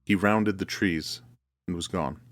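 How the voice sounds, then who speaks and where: A man reading a first-person horror story in a measured, deliberate storytelling manner. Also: low-pitched, a bit bright, no room sound. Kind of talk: first-person scripted narration. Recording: music bed under the voice. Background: music.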